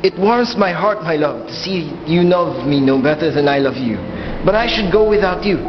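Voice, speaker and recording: A man's voice: on the high side, expressive, moderate pace, lo-fi sound, lightly acted. A man playing a dutiful, tender king in a radio play of Greek myth, speaking solemnly in formal old-fashioned diction. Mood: tender, resolute. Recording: reverberant hall sound, clear music behind.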